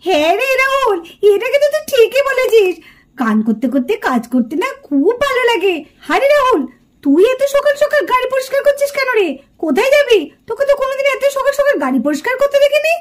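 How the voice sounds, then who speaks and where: Woman voicing a high, chatty elder sister doll. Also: sing-song, fast. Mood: chatty, curious. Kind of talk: puppet doll drama voice acting.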